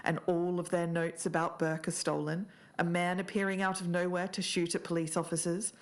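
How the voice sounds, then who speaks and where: Low-voiced woman podcast host. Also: level tone, a little fast. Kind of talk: podcast narration of research, reading quotes.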